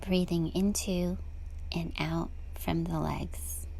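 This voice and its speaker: Really slow lady doing a guided body scan, soft n calm, super creaky voice. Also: lowish voice, slight background noise, poor audio. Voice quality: soft, breathy, husky. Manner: calm, gentle, unhurried with long pauses. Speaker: meditation guide.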